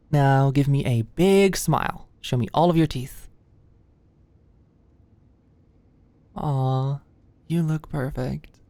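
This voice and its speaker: A woman playing a sweet, anxious young medical student in an ASMR roleplay, speaking gently and a little awkwardly close to the mic. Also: deep voice, dry recording. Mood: playful, encouraging. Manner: gentle, halting, clinical instructions slipping into shy fluster. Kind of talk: ASMR roleplay, soft close conversational delivery. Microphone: close microphone, dry sound.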